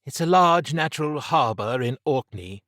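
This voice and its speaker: A man voicing a sharp, teasing woman investigator in an audiobook, with a brisk, knowing manner. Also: medium pitch, expressive, no room sound, clean studio sound, pitched above the performer's own voice, natural voice. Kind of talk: audiobook character acting.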